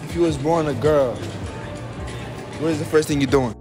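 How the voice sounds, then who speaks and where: Young male interviewer, casual slang, hesitant prodding, higher register, music bed. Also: normal speed. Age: young adult. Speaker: street interviewer. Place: large indoor shopping mall. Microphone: phone held in hand as mic.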